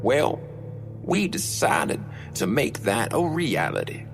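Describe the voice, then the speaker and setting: Creaky, slow, ancient old man. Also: medium pitch, big pitch swings, voice pushed up, heavily acted. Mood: calm explaining. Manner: slow, drawn-out, conspiratorial. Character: extremely old eccentric male cult leader in hiding. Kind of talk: comedic audio story character. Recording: music bed under the voice. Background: music.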